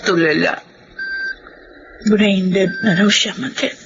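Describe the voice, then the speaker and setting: Isang babaeng gumaganap sa dramang panradyo bilang balisa at mapagmahal na asawa't ina, nagsusumamo at sinisisi ang sarili. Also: female voice, medium pitch, steady tone, takes it slowly, creaky. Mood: dazed, helpless. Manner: pleading, tearful. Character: distraught, devoted, self-blaming wife and mother. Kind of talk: Filipino radio drama acting. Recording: dull lo-fi radio drama sound. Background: prominent dramatic music.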